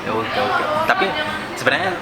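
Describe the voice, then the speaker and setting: A man's voice: fairly low pitch, huge pitch swings, brisk, over a noisy crowd. Teasing, chatty man hosting. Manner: chatty, teasing, laughs often. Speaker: host. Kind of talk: casual chat. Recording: loud background noise.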